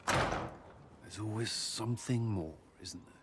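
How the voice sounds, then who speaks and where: An actor playing an earnest, haunted military commander and former knight who is tender in love, in a video game cutscene. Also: male voice, fairly low pitch, a bit bright. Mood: firm, weary. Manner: halting and hesitant when speaking personally. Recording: long reverb, background noise.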